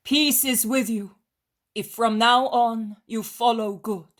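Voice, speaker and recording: A woman voicing a gentle, kindly male holy teacher in a storybook reading, solemn, reassuring and emphatic. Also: fairly high pitch, a little slow, pitched above the performer's own voice. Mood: serene blessing. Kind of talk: dramatized devotional storybook reading.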